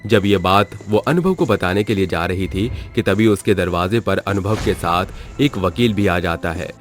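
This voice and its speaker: A man's voice: low-pitched, normal speed, faint music behind. A man narrating a scripted moral story as its storyteller.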